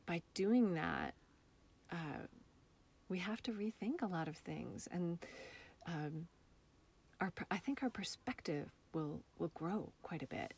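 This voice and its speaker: An American woman speaking as a guest artist in a reflective interview, thoughtful and halting as she searches for words. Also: on the low side, big pitch swings, gravelly, a little mellow, breathy edge. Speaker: guest, local artist and theatre maker.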